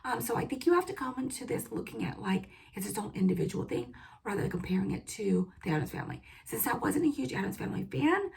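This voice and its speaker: A woman with a hoarse voice, losing it after a cold, giving a casual, opinionated solo review of a TV show with plenty of "um" and "like". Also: medium pitch, very animated, quick pace, darkish tone, a little hiss or hum.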